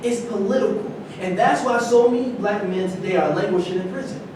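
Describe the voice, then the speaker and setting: A man acting an insistent, high-voiced embittered ex-prisoner. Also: brisk, lightly acted. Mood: indignant. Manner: argumentative, insistent. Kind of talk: staged play reading. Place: large indoor room at a live staged reading, distant mic. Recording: very echoey, noisy room from far off.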